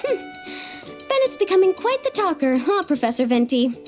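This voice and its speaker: A sporty young tour guide in a video game, breezy and chummy. Her youthful voice is very high and moves animatedly in pitch, with music playing behind it. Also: sing-song, normal speed. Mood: playful. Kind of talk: English video game voice acting.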